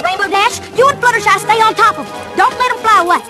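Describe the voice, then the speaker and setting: A woman's voice: very high voice, fast talker. Folksy Southern cowgirl cartoon pony. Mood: commanding. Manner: folksy, commanding. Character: sturdy young cowgirl farm pony, brisk and confident. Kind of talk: animated cartoon acting. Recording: loud music behind the voice.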